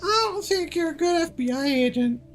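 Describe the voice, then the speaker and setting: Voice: extremely high pitch, expressive, moderate pace. A game streamer giving casual, profane live commentary with sardonic, joking asides. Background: video game music and sounds.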